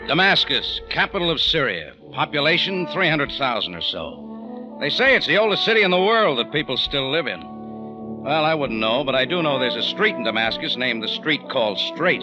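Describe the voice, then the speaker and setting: A man's voice: a little high, very animated, moderate pace. A man with an American accent playing a wry, hard-boiled cafe owner who narrates an old-time radio detective drama. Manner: sardonic, tough, wisecracking. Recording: muffled old radio recording, music behind.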